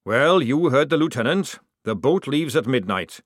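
A man voicing a gruff old army sergeant who barks orders at his recruits. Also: medium pitch, animated, moderate pace, no room sound, clean studio sound, voice pushed up, lightly acted. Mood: commanding. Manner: barking orders. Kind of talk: audiobook character voice.

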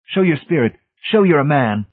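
A man's voice: fairly high pitch, animated, moderate pace, breathy edge, pitched above the performer's own voice. Through a thin phone-like band comes a smug young zealot, acted out by a male narrator. He declaims in a raised, sanctimonious voice, as pompous storybook villains do.

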